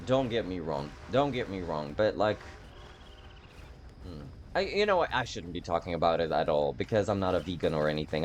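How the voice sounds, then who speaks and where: Male game streamer, lowish voice, very animated intonation, casual self-deprecating rambling with swearing. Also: a little hiss or hum. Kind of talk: casual gameplay commentary.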